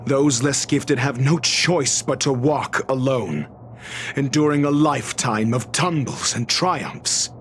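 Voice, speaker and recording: An actor playing an arrogant, fastidious scholar-doctor in a video game, speaking in a pompous, measured, formal way. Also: male voice, mid-range voice, a little hiss or hum, close to an everyday voice. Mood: lofty lecturing. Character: arrogant, erudite male scholar-doctor, fastidious and disdainful of fools. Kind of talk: scripted video game cutscene dialogue.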